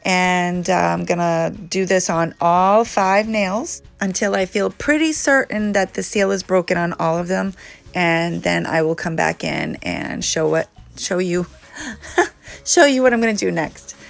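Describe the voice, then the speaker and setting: Congested woman with a gravelly voice. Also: fairly low pitch, a little slow, faint music behind. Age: adult. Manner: chatty, relaxed, frequent ums. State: congested from allergies. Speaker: nail tutorial host. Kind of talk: casual how-to chat.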